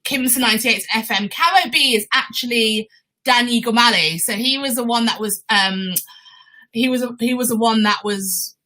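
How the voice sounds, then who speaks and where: A woman with a bright, fairly high voice hosts live chess commentary. She talks casually and explains as she goes, often checking in with questions. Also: moderate pace.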